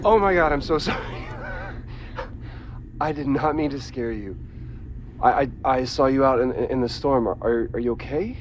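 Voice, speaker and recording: An actor playing a nervous, volatile drill worker in a video game, stammering and cajoling in an unhinged way. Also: male voice, mid-range voice, measured pace, a little hiss or hum, lightly acted. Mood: flustered, apologetic. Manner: stammering, cajoling, swinging into outbursts. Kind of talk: video game dramatic acting.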